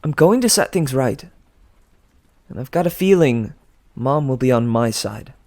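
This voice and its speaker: A man acts out a cheerfully defiant teenage girl from a storybook. The voice is lifted well up in pitch, and she talks boldly and breezily, with a taunting edge and total confidence. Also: animated, a little slow, darkish tone. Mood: cheerful, firm.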